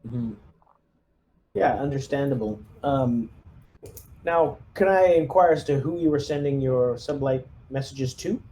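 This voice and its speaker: A man playing a tabletop game, asking questions tentatively and thinking out loud, with faint noise behind him. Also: medium pitch, unhurried, poor audio. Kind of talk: casual, hesitant in-game questioning. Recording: faint background noise, ambience.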